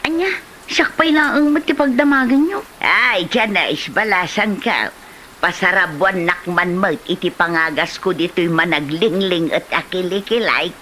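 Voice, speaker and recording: Woman acting village auntie, Ilocano accent, piercing and forceful, complaining, blunt, comedy drama, slight background noise. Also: lowish voice, a little slow. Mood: teasing, nagging.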